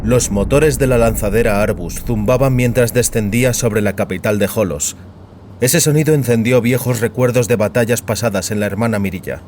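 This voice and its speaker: A man's voice: medium pitch, moderate pace, a little mellow, slight background noise. A man reading a science fiction story as scripted dramatic narration.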